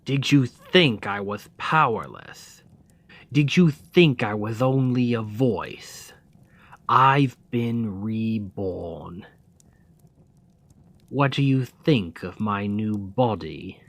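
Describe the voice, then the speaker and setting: A man's voice: lowish voice, expressive, dry recording, exaggerated voice. A man playing a whispering demon king. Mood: gloating. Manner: slow, deliberate, often whispered. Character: scheming male demon king, menacing and seductive. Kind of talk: ASMR roleplay.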